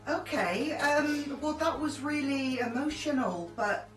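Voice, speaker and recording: A woman's voice: high-pitched, animated, unhurried. A woman hosting a talk show, interviewing a guest with supportive, short affirmations. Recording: echoey room, far from mic, music behind.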